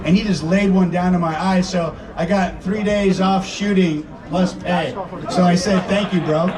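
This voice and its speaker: A man in his fifties on a screening panel, telling lively stories to an audience with frequent laughs. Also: high-pitched, moderate pace. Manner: animated, laughing storyteller. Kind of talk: storytelling to an audience. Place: screening event before a crowd. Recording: distant mic, crowd noise.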